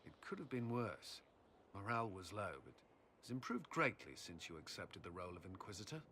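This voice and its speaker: A man's voice: on the low side, lively intonation, a little fast, pushed and bright, slight background noise. A man playing an earnest, vigilant military commander in a game, speaking in a firm, clipped way. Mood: grave, determined. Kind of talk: dramatic game cutscene acting.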